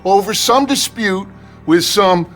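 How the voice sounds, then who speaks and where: Slow, grandiose American outlaw leader. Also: male voice, high-pitched, a bit bright, soft background music. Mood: smoothly persuasive. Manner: measured, silver-tongued, oratorical. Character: charismatic, grandiose outlaw gang leader, middle-aged American man. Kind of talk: dramatic western video game acting.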